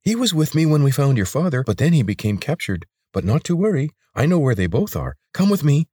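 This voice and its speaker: A man's voice: fairly high pitch, very animated, a little fast, mellow, breathy edge, no echo, studio quality, voice pushed up. A man voicing a lizard servant who speaks stiffly and formally without contractions. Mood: urgent.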